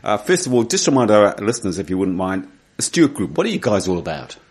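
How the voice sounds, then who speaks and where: A man's voice: fairly low pitch, very animated, quick pace, darkish tone, slightly rough. A man who hosts a studio podcast, reading the disclaimer and greeting his guest in a friendly way. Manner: friendly, brief interjections. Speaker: podcast host. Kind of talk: podcast interview and read disclaimer.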